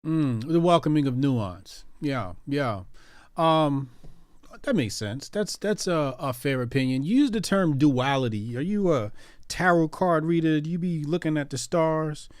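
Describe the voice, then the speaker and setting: A man's voice: fairly high pitch, expressive, unhurried, no room sound. Guy hosting a live chat room, teasing and slangy, dry close sound. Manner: playful, teasing, loose slangy talk.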